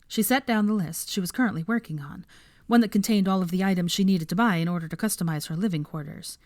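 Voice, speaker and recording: A woman's voice: on the low side, fast talker, no echo. A woman narrating a story as an audiobook reader, from a script.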